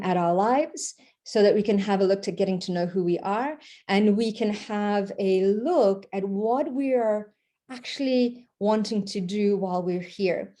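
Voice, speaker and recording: A woman, her warm, mellow voice rambling loosely through a spiritual talk. She keeps checking in with a questioning "okay?" and lets fillers and restarts slip in as she thinks aloud. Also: medium pitch. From Portugal. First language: Portuguese. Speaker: Reiki practitioner.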